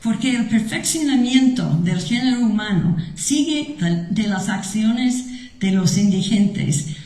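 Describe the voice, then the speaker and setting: A woman at a conference slowly reading a prepared tribute aloud, with a Mexican Spanish accent and the odd slip. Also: a little high, lively intonation, dark tone. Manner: slow, measured reading with some slips. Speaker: conference speaker. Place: lecture hall. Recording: echoey hall.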